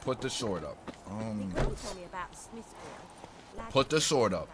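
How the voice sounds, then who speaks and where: Brash American male gamer, lively delivery. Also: on the low side, slow pace, a crowd in the background. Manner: slangy, brash, reacting to the game. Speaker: gamer streamer. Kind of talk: casual profane gameplay commentary. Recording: game sounds behind the voice. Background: game ambience and horse hooves.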